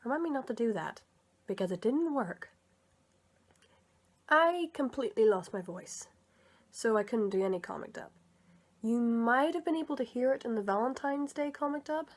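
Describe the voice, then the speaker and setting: A woman's voice: a little high, normal speed, pauses often. A woman talking casually to her viewers in a vlog, chatty and rambling, correcting herself as she goes, and a bit sick. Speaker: video creator and comic dub reader.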